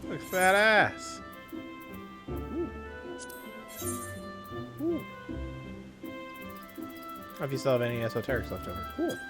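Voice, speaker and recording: A man's voice: mid-range voice, huge pitch swings, slow, pauses often. Casual male game streamer over music. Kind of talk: casual live-stream commentary.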